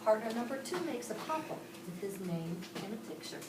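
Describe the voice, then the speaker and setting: A woman's voice: fairly high pitch, big pitch swings, a little fast, very noisy. A woman from Pittsburgh teaching a class, explaining each step and asking the children questions. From Pittsburgh, Pennsylvania. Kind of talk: classroom instruction. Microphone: camera across the room. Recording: echoey classroom from far off.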